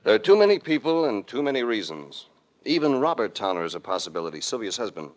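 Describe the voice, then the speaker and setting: An actor playing a cool, commanding investigator in an old radio mystery, with terse and authoritative delivery. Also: male voice, medium pitch, sing-song, fast, lightly acted, poor audio. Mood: brisk, thoughtful. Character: cool, commanding male investigator, hard-boiled and shrewd. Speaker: lead actor and narrator. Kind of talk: 1940s-50s radio detective acting. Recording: vintage radio drama recording.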